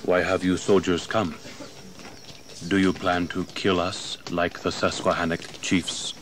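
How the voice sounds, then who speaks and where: Slow, deep-voiced solemn chief. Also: male voice, little pitch movement, a bit bright. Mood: wary. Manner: slow, measured, deliberate. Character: grave, wary Appomattox chief, solemn and dignified. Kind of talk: historical audio drama acting. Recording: distant mic, noisy old recording.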